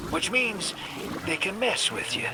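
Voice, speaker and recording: Wry sidekick, slow, highish voice, colloquial, sci-fi game, very noisy background. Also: male voice, expressive. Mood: wry concern. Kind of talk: acted game dialogue.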